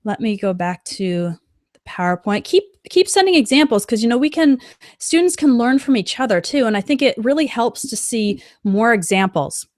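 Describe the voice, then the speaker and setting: A woman teaching an English lesson on a live stream, reading out example sentences slowly and clearly as she answers a chat. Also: fairly high pitch, expressive, no room sound, clean studio sound.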